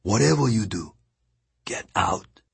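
A man's voice: fairly low pitch, animated, a little slow, vocal fry, slightly rough, voice pushed up, exaggerated voice. A man voicing a dying old ship captain, weak and urgent, in an audiobook reading.

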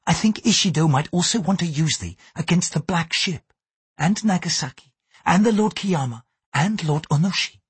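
A man's voice: on the high side, animated, normal speed, husky, no room sound, pitched above the performer's own voice. A man reading aloud, voicing a poised young Japanese noblewoman with a formal, archaic way of speaking. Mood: earnest. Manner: formal, archaic thee-and-thou speech, apologetic "so sorry".

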